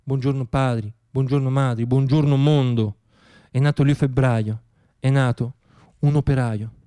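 A man performing a theatrical radio monologue as a stage storyteller, reciting rhythmically and declaiming with dramatic pauses. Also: medium pitch, steady tone, warm tone, clean tone. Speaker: solo stage actor and storyteller.

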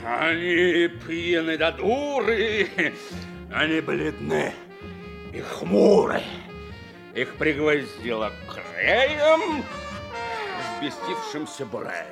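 An actor playing a drunken, forgetful, boastful sea captain in an audio play, with a slurred theatrical drawl. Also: male voice, fairly high pitch, sing-song, drawn-out words, gravelly, music in the background, full character voice. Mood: drunken bellowing. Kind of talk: theatrical audio play acting with narrated monologue.

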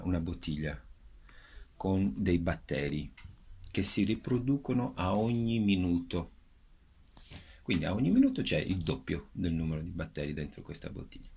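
A man with a gravelly, husky voice explaining things in an interview, haltingly, repeating words as he searches for them. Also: on the low side. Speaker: actor and director presenting a show.

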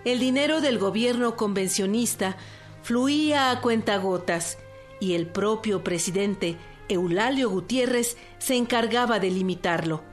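A woman with a Mexican accent giving scripted documentary narration for a radio production. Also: medium pitch. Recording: radio production with music bed.

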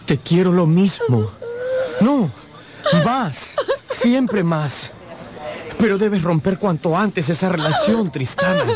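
An actor playing an ardent, devoted young painter in love, in a radio drama. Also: male voice, sits high, very animated, slow pace, pauses often, a loud crowd, exaggerated voice. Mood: ardent, insistent. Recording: music behind the voice.

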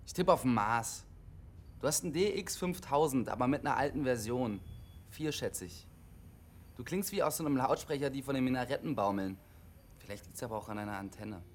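A man's voice: medium pitch, brisk, slight background noise, close to an everyday voice. An actor playing a withdrawn, literal-minded CB radio operator in his twenties, matter-of-fact and pedantic, in a naturalistic radio drama. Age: 20s.

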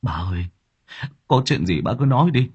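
A man's voice: medium pitch, sing-song, moderate pace, a little mellow, slightly rough, pitched above the performer's own voice. A man voicing a worried middle-aged son, speaking earnestly and anxiously, on a dull lo-fi recording.

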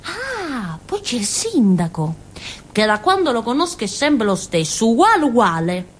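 An actress playing a naive, simple-minded village woman in a theatre monologue, with a southern Italian accent and a childlike, halting, colloquial way of telling things. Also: fairly high pitch, very animated, slight background noise. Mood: chatty, simple. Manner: childlike, halting, giggly, muttering little asides. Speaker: stage actress.

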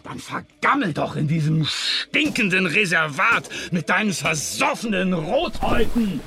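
An actor playing a cocky, scheming outlaw in a German western radio drama, glib, sly and needling. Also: male voice, sits high, very animated, unhurried, music in the background, exaggerated voice. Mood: furious, scornful. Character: slick, scheming outlaw swindler, cocky and vengeful.

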